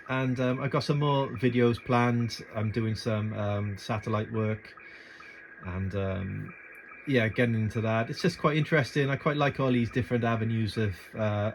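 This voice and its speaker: A man's voice: medium pitch, steady tone, moderate pace. Informal man in a noisy phone recording. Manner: informal, hesitant, thinking aloud. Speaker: amateur radio hobbyist making a tutorial video. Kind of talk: casual unscripted screen and gear walkthrough. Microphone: handheld phone filming the setup.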